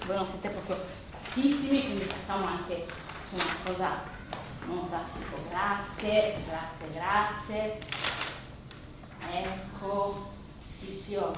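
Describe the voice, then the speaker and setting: A woman acting a monologue as a flustered, anxious art restorer who addresses an audience haltingly, stammering, repeating and correcting herself. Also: medium pitch, close to an everyday voice. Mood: muttering, fussing. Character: flustered, anxious art restorer, mentally ill man's daughter. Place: performance space with an audience. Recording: very echoey distant live recording, faint noise.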